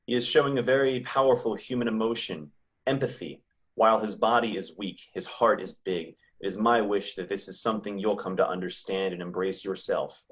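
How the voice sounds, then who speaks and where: Grave spirit guide, played by a man, low voice, moralizing, for a holiday drama read. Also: brisk, fairly bright tone, lightly acted. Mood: solemn.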